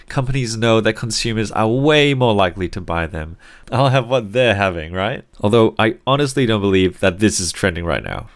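A man's voice: fairly low pitch, creaky. Singapore-raised man with an Australian accent. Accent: Australian English, learned as an adult. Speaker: psychology teacher. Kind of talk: scripted video lesson narration.

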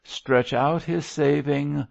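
A man's voice: on the high side, fairly even intonation, takes it slowly, vocal fry, lo-fi sound, voice pushed up, heavily acted. A man voicing a stern, pious old missionary in an audiobook, solemn and exhorting. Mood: fervent pleading. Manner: solemn, exhorting, declamatory prayer. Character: wary old male zealot missionary, stern, fervently pious. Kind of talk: audiobook character voice.

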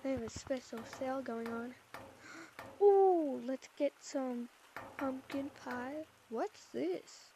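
High-voiced playful woman, noisy headset. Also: slow pace, warm tone. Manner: chatty, sighing, playful. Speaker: VR game player. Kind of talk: playful roleplay commentary. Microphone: VR headset mic.

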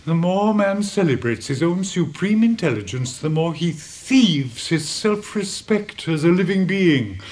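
A man's voice: sits high, expressive, unhurried, hardly any pauses, a little mellow. An older man playing a despairing, idealistic headmaster near retirement in a British radio drama, earnest and mournful in an oratorical way. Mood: bitterly oratorical.

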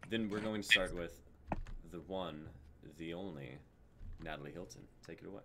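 Low voiced guy in his 20s hosting a livestream, casual, bit of background noise. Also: moderate pace. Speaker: stream host. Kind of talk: casual live-stream hosting. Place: indoor room, group video call.

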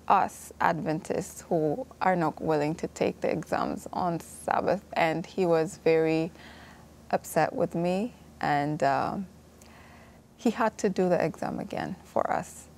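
A woman in her thirties from Ethiopia sharing a personal testimony in a studio Bible class, earnest and quick to laugh, on a lapel mic. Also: low-pitched, a little mellow, a little hiss or hum. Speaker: class participant. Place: TV studio set.

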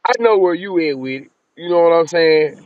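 A slightly dull video-call recording of an American man with a somewhat high voice. He interviews in a casual, slangy way, repeating words and tag phrases as he goes. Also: a little fast, dry recording.

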